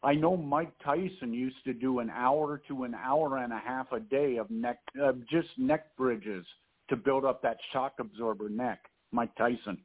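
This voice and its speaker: A man's voice: mid-range voice, unhurried. A man co-hosting a podcast, interviewing a guest in a stiff, formal way with long questions. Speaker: podcast co-host. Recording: tinny phone line.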